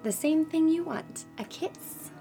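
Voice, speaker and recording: A woman's voice: measured pace, gravelly, warm tone, soft background music. A woman doing a sly, murmuring ex-lover. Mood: coaxing, sly. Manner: coaxing, teasing, low and murmured.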